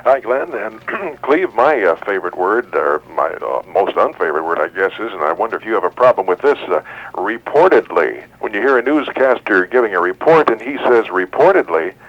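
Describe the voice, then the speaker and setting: Low-voiced man on a dull phone line. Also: sing-song, a little fast. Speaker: radio show caller. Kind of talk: casual call-in chat. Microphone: telephone.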